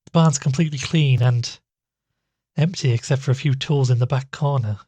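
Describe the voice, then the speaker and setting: Guy playing worried investigator in an audio drama, kinda raspy, hesitant, really dry sound. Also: mid-range voice, moderate pace, warm tone, dry recording, lightly acted. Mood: wary. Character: uneasy male amateur investigator recording his findings, anxious, earnest. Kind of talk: audio drama dialogue and first-person narration.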